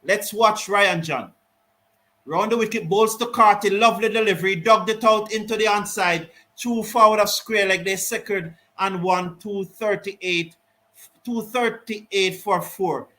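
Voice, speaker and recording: Guy doing live cricket commentary, high bright voice, gets loud on the sixes. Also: unhurried. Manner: lively, rises excitedly on big shots, Caribbean English phrasing. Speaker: cricket watch-along commentator. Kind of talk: live ball-by-ball commentary reading viewer chat.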